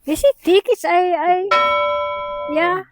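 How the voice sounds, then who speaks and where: A Filipino-accented woman with a high, light voice talks her way slowly through a harbor tour, pausing and restarting and slipping into Tagalog. Her sound is close and dry, though she is outdoors. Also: dry recording. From Philippines. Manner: chatty, halting, mixes in Tagalog, laughs often. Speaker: vlogger. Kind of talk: casual travel vlog walking tour.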